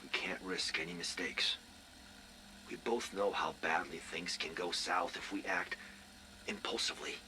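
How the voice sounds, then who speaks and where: An actor playing a protective male military officer who speaks low and steady, cautioning. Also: measured pace, slight background noise, heavily acted, low-quality recording.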